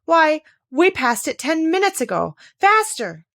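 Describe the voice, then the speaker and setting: A woman's voice: sits high, big pitch swings, unhurried, a bit bright, no echo, clean studio sound, pitched above the performer's own voice, heavily acted. A woman voicing a bossy yet good-natured chess queen from a storybook, exclamatory, imperious and matter-of-fact. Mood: urgent, commanding.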